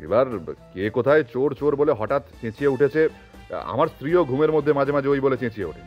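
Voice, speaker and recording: A man's voice: medium pitch, lively intonation, fast, lo-fi sound, faint music behind, natural voice. A man playing an irritable, scheming householder and master in a Bengali audio story, in an exasperated, colloquial manner. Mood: smoothly placating.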